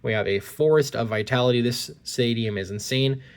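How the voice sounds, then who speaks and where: A man's voice: mid-range voice, fairly quick, smooth. Chatty man with a stuffy cold. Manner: chatty, reads card text aloud. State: slightly congested from a cold. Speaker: card-opening YouTuber. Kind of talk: casual card-opening commentary. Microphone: phone recording at point-of-view angle.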